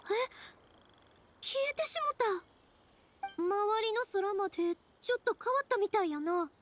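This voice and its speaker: A woman's voice: extremely high pitch, animated, a little slow. A woman playing a cheerful, playful young big-sister type with a Kansai accent in an anime game. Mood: surprised. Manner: breezy, encouraging. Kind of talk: Japanese anime game acting. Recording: music behind the voice. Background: game music.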